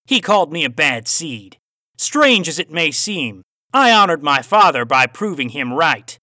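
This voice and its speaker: Sinister cowboy villain, aussie w a bit of twang, theatrical and taunting, high pitched and swooping, audiobook style. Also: male voice, very animated, sharp tone, dry recording, clean studio sound, full character voice. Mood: bitter menace. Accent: Australian with a hint of cowboy twang. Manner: formal, deliberate, sinister rhetorical questioning. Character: menacing male phantom cowboy villain, philosophical, taunting, theatrical. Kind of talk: audiobook character voice.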